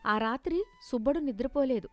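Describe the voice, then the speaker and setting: A woman's voice: high voice, lively intonation, a little fast, dry recording. A woman narrating a scripted moral story in a storytelling style.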